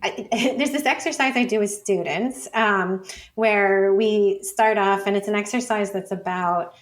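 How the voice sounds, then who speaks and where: A woman's voice: mid-range voice, measured pace. A woman guest on an interview show, a professor explaining her field thoughtfully in loose, conversational phrasing. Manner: thoughtful, hedging with "like" and "sort of". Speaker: guest, professor of urban studies and planning.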